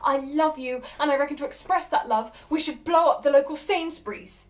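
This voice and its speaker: High female voice, stammering, exasperated, theatrical monologue, halting phrases with agitated bursts, an actress playing a flustered girlfriend. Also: close to an everyday voice. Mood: indignant, sarcastic. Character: flustered, exasperated woman answering her partner's unwelcome proposal.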